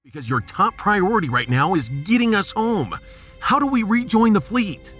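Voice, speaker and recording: A man's voice: high voice, big pitch swings, moderate pace, fairly bright tone, soft background music. A man playing an authoritative, protective starship captain in a sci-fi audio drama, demanding in manner.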